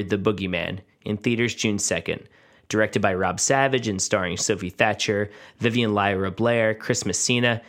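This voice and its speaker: A man introduces a podcast episode as its host. His voice is on the low side, and he reads from a script.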